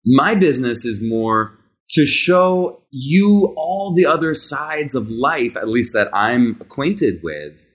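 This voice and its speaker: A man in his thirties whose first language is Hebrew, hosting a podcast and telling a personal story in a candid, conversational way. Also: mid-range voice. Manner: candid, conversational, tempo shifts between rushed and drawn out. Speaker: podcast host.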